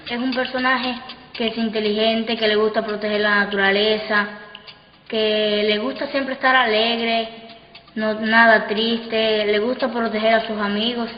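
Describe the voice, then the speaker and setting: A female performer with a fairly high, flat voice answers interview questions in simple phrases. The recording is muffled and reverberant. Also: measured pace, soft background music. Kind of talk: interview talk. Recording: echoey hall, muffled, faint noise.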